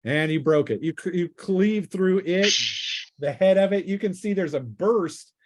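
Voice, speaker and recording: A man with a somewhat higher-pitched voice runs a tabletop game. He narrates casually, feeling his way through each scene as he describes it. His tone is that of a game master calling turns and outcomes. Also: measured pace, darkish tone.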